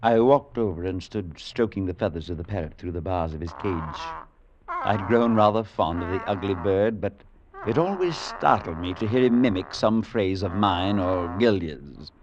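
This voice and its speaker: A priest narrating. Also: male voice, deep voice, lively intonation, moderate pace, noisy background. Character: kindly male priest narrating. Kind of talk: old-time radio drama. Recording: dull vintage recording with music.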